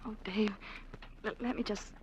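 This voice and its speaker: An actress as a frightened, stammering young niece. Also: female voice, medium pitch, steady tone, moderate pace, a little hiss or hum, natural voice. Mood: fearful. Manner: hesitant, stammering, fearful. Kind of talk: 1940s radio acting.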